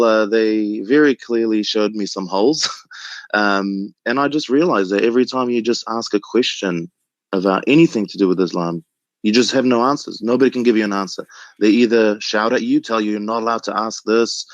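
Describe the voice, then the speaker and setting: A man in his thirties from Egypt calling in to the show, speaking in a relaxed, earnest way with frequent ums and laughs. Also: fairly low pitch, dry recording, dull audio. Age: 30s. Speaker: caller. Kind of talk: casual phone call chat.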